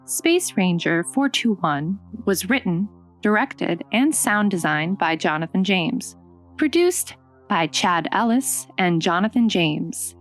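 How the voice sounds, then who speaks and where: A woman announcer reading scripted credits for an audio production. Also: medium pitch.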